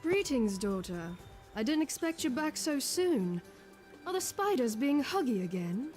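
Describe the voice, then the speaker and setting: Fairy queen mother, very high, animated intonation, regal, complacent, fantasy game dialogue. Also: unhurried, soft background music. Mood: amused.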